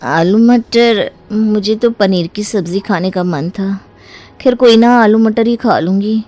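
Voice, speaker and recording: A woman's voice: mid-range voice, takes it slowly, warm tone, lightly acted. A woman voicing a breezy, demanding young wife staying as a houseguest in a story, with a familiar, presumptuous manner.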